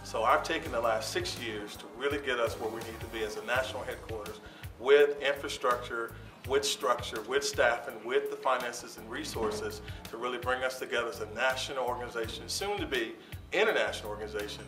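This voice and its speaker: Measured man in a roomy room. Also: medium pitch. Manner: steady, measured, cites figures and dates. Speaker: organization founder being interviewed. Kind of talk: reflective interview answers. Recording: roomy sound from a distance with music underneath.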